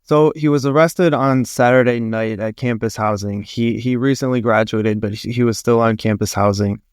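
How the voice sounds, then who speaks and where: A man's voice: medium pitch, steady tone, moderate pace, smooth, no room sound, studio quality. A male reporter explaining a news story in a podcast, informative, with small restarts.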